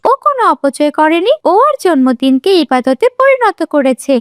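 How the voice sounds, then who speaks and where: A woman voicing a kind, pious young girl in a children's cartoon, speaking gently and sincerely. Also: high-pitched, sing-song, moderate pace, no echo, studio quality. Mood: earnest reproach.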